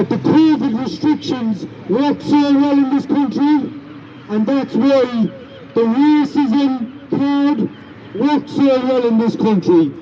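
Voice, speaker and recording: An Irish man with a big, loud voice giving an emphatic outdoor protest speech, repeating his points with indignation. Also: very high voice, muffled recording, a little hiss or hum. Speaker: rally speaker. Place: street rally outdoors.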